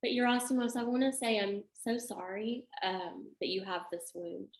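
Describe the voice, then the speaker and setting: A woman's voice: medium pitch, normal speed. Thoughtful middle-aged woman, echoey room. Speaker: psychologist guest. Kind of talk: interview answers.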